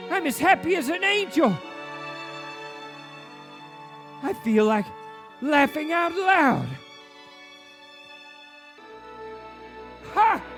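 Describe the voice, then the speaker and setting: A man on stage playing an elderly reformed miser in a theatrical radio play, exclaiming and repeating himself in fervent bursts. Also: very high voice. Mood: giddy elation. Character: elderly reformed miser, frantic, giddy and overjoyed. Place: theatre stage before an audience. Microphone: shared stage microphone. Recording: live stage recording with music behind.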